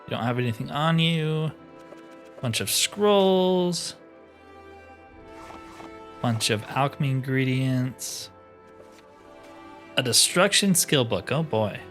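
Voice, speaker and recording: Man, mid-pitched voice, casual let's play commentary, thinking aloud, mildly slangy, music in the background. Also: animated, slow pace, pauses often, fairly bright tone. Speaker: let's player narrating a roleplay playthrough.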